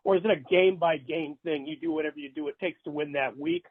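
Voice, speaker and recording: A man working as a sports reporter, asking long unscripted questions in a halting, rephrasing way. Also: on the high side, steady tone, slight background noise. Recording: narrow telephone line.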